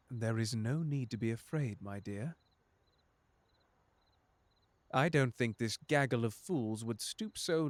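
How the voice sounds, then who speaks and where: Guy doing a smooth polite butler, dryly sardonic, super close dry sound, asmr roleplay type thing. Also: medium pitch, no room sound, natural voice. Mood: reassuring. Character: suave, sardonic, unflappable demon butler, protective and courteous. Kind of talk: ASMR audio drama roleplay addressed to the listener.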